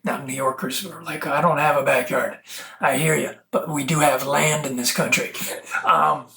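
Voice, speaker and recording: A speaker from the United States giving an earnest, preachy monologue as an activist presenter, halting and digressive, drawing out words and playing on them. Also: medium pitch, steady tone, vocal fry, sharp tone. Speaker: self-taught activist presenter. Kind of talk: rambling monologue to camera.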